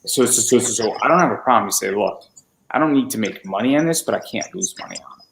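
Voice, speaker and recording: A roomy, echoey recording of a man in his late twenties with a fairly low voice. He talks casually like a podcaster in conversation, often repeating his first words in a stammering run before getting into the sentence. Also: lively intonation, fast talker, gravelly, fairly bright tone, a little hiss or hum. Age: 20s.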